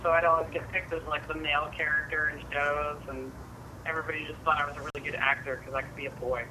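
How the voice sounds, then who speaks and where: A woman with a low voice, a musician guest, chatting casually in an interview. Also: flat delivery, fast, background noise.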